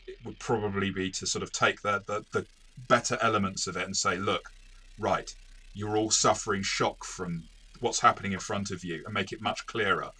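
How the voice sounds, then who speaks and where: A man's voice: on the low side, sharp tone. A man hosting a casual review discussion as a game master, phrasing his points thoughtfully and correcting himself as he goes.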